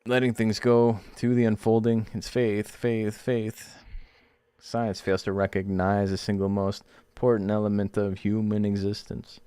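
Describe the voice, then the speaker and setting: A man's voice: fairly low pitch, little pitch movement, measured pace, mellow, smooth, no room sound, lightly acted. A man putting on a solemn preachy Armenian-accented voice. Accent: put-on Armenian.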